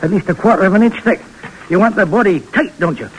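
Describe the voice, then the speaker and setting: An actor playing a cheerful, folksy, penniless tinker who stammers and repeats himself in an old radio drama. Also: male voice, sits high, quick pace, close to an everyday voice. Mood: busy, fussing. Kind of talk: 1940s radio drama acting. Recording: noisy vintage radio broadcast recording.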